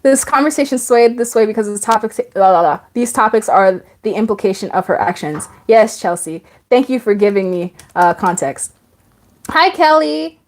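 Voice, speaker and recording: A woman's voice: medium pitch, lively intonation, normal speed. A woman of about twenty-five hosting a casual live stream, chatting, laughing often and reading out viewer comments.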